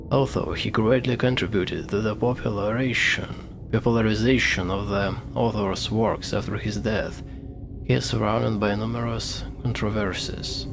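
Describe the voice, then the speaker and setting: A man reading informational notes aloud during a game playthrough, in a measured, deliberate way. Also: fairly low pitch, vocal fry. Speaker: gaming video host.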